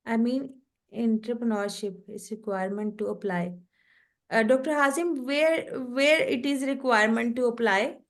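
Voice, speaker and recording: A woman whose first language is Urdu answers questions after her online lecture, pausing often with fillers. Her voice sits a little high. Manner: hesitant, many fillers. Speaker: guest lecturer. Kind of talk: online webinar Q&A.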